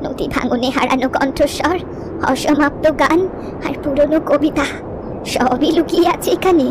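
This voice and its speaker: A woman playing a wistful, gentle old village grandmother who tells river lore with poetic, reflective pauses in a cartoon story. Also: sits high, noisy background, natural voice. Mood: mysterious, gentle. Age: older.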